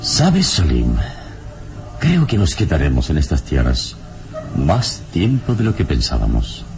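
Very deep-voiced solemn hero. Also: male voice, wildly swooping pitch, creaky, heavily acted. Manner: solemn, measured. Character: wise, calm heroic male adventurer and mentor. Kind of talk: old radio drama acting. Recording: vintage radio serial with background noise.

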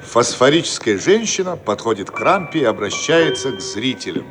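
A man's voice: medium pitch, very animated, normal speed, music in the background. A man narrating a radio play, reading out scripted stage directions. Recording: noisy archive radio recording.

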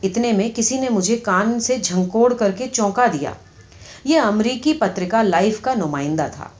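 A woman's voice: mid-range voice, expressive. A woman reading a satirical short story as scripted audiobook narration. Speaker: audiobook reader. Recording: slightly dull, lo-fi audio.

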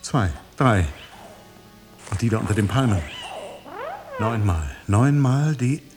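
Slow, disbelieving male journalist. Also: lowish voice, expressive, music in the background. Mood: insistent, amazed. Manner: halting, disbelieving, questioning. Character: baffled, suspicious, stubborn journalist on holiday. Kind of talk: German radio drama acting.

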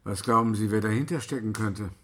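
An actor in a radio play voicing an irascible, authoritative opera director with a volatile manner. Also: male voice, on the low side, a little fast, a bit bright, husky. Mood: wary, polite. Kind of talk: German radio play acting.

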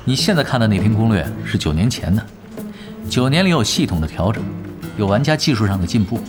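Casting: cocky, easygoing young male gaming veteran, audio drama, brisk and varied delivery, music behind. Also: on the low side, sing-song. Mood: calm, knowing. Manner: casual, teasing, self-assured.

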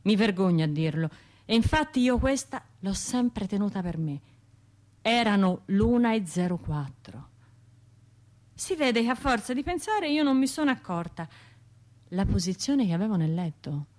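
A woman's voice: mid-range voice, expressive, moderate pace, lightly acted. An actress playing a traumatised, self-reproaching woman survivor in a radio drama monologue, speaking in fragmented, obsessively repeated phrases. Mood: haunted, confiding. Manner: fragmented, repetitive, obsessive delivery with hushed and surging phrases.

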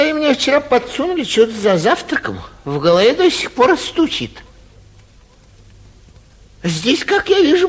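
A carefree young dandy from the capital, airy and pleased with himself. His pitch moves freely in classic stage comedy style, and the old radio recording leaves the voice slightly husky. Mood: contented, musing. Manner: airy, self-satisfied, chatty. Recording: muffled, husky 1949 radio theatre recording.

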